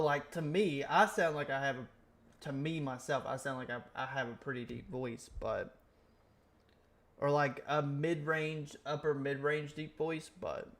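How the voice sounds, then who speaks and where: A man's voice: medium pitch, fairly bright tone. A young man streaming a game, chatting casually and rambling in half-finished, self-correcting thoughts. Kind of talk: casual gaming stream chat.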